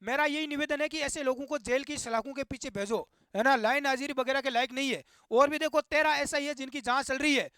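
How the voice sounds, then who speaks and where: A man with a very high, sharp, forceful voice addresses his online viewers. He speaks as an indignant commentator, exhorting and driving points home through repetition. The sound is close and dry. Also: fairly quick, few pauses, no echo. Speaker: YouTube host. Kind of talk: impassioned opinion commentary to viewers.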